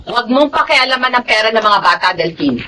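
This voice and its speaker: A woman's voice: on the high side, lively intonation, brisk, pushed and bright, a little hiss or hum, rough recording. A woman acting in a Filipino radio drama as a long-suffering, devout mother, pleading yet firm and protective.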